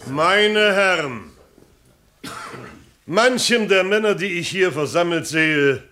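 An actor in a 1970s German radio play, playing a formal, ponderous chairman with a measured way of addressing a meeting. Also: sits high, lively intonation, bright, forceful tone, slight background noise, exaggerated voice. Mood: solemn, formal.

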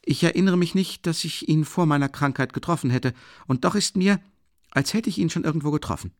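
A man's voice: on the high side, expressive, a little slow, darkish tone, pitched above the performer's own voice. A man voicing an agitated, feverishly chatty young man in a dramatic audiobook reading, abrupt and insistent. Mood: sudden eager praise.

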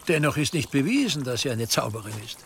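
An actor playing a cautious, authoritative prince-bishop, speaking in a measured, formal way in a German historical radio drama. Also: male voice, mid-range voice, big pitch swings, slight background noise. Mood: grave, cautious.

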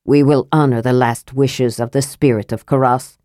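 A woman's voice: extremely low pitch, fairly even intonation, moderate pace, dark tone, no echo, studio quality, pitched below the performer's own voice. A woman voicing a proud, solemn male dwarf leader who speaks in a stately, grave way.

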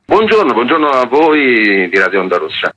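A man's voice: mid-range voice, big pitch swings, sharp tone, highs cut off. A man who directs a theatre festival, talking at length in a radio interview with hesitations. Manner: discursive, with filler pauses.

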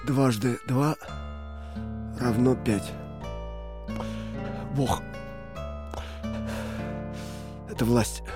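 Man as beaten-down male prisoner, audio drama, halting, brooding, secretly defiant, medium pitch, musical backing. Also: natural voice. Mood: dull, reciting. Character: broken, inwardly defiant male political prisoner. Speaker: actor.

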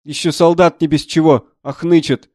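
A man acting a gruff, barking army surgeon. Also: fairly high pitch, fairly even intonation, normal speed, darkish tone, no echo, studio quality, voice pushed up, close to an everyday voice. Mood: barking, impatient. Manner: barking, brusque exclamations. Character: gruff, irascible military army surgeon, hard-drinking and brusque. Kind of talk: dramatised audiobook character voice.

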